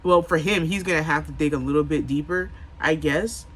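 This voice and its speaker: Loud, chatty man hosting a reaction video. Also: fairly high pitch, fairly quick, slight background noise. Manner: chatty, loud, exaggerated for the camera. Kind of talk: casual reaction commentary.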